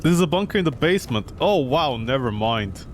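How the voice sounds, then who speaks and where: A man's voice: medium pitch, lively intonation, a little fast, slight background noise. A man streaming a game with casual, mocking, exasperated commentary and plenty of swearing. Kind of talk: casual, profane gameplay commentary.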